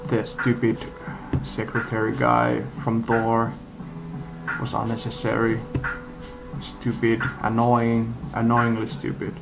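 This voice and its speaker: Male amateur reviewer, gravelly and unhurried, halting and blunt, unscripted; radio music audible behind him. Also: medium pitch, monotone, poor audio. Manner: halting, rambling delivery. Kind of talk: unscripted casual rant review. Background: music from a live radio.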